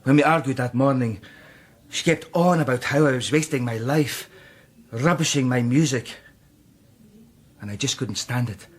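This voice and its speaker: An actor playing a brooding, guilt-ridden Scottish composer, intense and defensive, in a radio drama. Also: male voice, medium pitch, normal speed, long pauses, slightly rough, natural voice. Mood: anguished, fervent.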